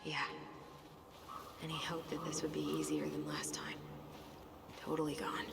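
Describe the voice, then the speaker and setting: A woman's voice: sits low, big pitch swings, unhurried, gravelly, in a whisper, heavily acted. A woman playing a loyal, teasing young twin sibling in a naturalistic video game performance. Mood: pensive. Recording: echoey, noisy, distant game capture.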